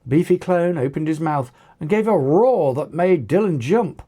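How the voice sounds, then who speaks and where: A narrator reading an action story as its author, with animated, dramatic delivery and acted dialogue. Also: mid-range voice, moderate pace. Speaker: author narrating own book.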